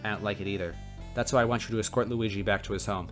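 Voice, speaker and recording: Casting: worn-out, authoritative policewoman in charge read by a man, low-pitched, storybook narration with faint music. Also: fairly even intonation, rapid-fire, lightly acted. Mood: weary, firm. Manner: weary and matter-of-fact. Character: tired, stern female police chief, curtly authoritative. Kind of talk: amateur story read-aloud.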